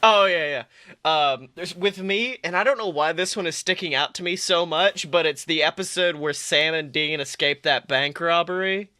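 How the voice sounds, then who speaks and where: A man's voice: a little high, normal speed, bright, forceful tone, dry recording, studio quality. A young man co-hosting a fan podcast, joking and rambling in casual chat with plenty of fillers. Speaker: podcast co-host.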